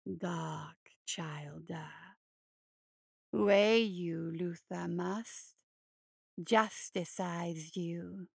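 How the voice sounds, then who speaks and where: A woman's voice: fairly low pitch, extremely slow, no room sound, studio quality, voice pushed down. A woman voicing a huge, hungry, blood-soaked male hare monster who murmurs in broken grammar. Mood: menacing.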